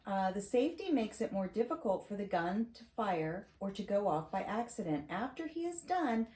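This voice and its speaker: A woman's voice: mid-range voice, sing-song, moderate pace, dark tone. A middle-aged woman reading a children's picture book aloud, encouraging, with chatty asides and questions to check understanding. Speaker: storyteller reading to grandchild. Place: wood-shelved home study, indoors. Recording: roomy echo, faint noise, clicks.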